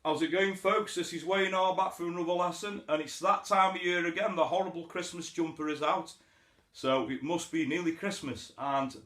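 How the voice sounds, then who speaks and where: A man's voice: on the high side, a bit bright. Casual male guitar teacher, roomy sound. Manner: chatty, informal, explains step by step. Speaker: guitar teacher, host of an online lesson series. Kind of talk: casual spoken guitar tutorial. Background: acoustic guitar.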